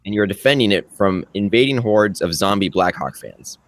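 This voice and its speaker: A man co-hosting a podcast, speaking in a casual, quick, sarcastic way. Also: lowish voice, lively intonation, dry recording. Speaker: podcast co-host.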